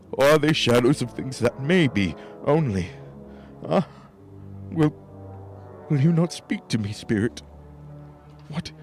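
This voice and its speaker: An actor playing an excitable old miser in a radio play, theatrical and stammering. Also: male voice, fairly high pitch. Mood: fearful, hushed.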